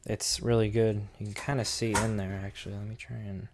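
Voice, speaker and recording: A man's voice: lowish voice, flat delivery, fairly quick, noisy background. A man giving a casual, unscripted hands-on product review, thinking aloud as he goes in an informal way.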